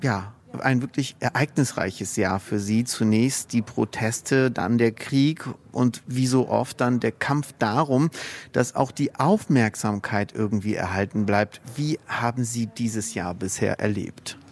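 A man's voice: medium pitch, a little slow. Male radio interviewer with a mid-range voice. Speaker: radio host interviewing a guest.

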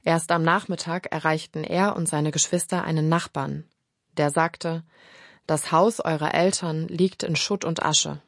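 A woman narrating a radio news feature in a measured, scripted reading. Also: deep voice, fairly even intonation, dry recording, clean studio sound. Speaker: radio reporter.

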